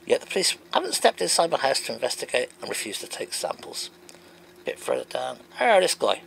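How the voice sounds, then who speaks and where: Animated man with a gravelly voice. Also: medium pitch, a little fast, rough recording. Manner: animated, wondering aloud, emphatic. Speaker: homeowner answering comments. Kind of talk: reading out and answering comments.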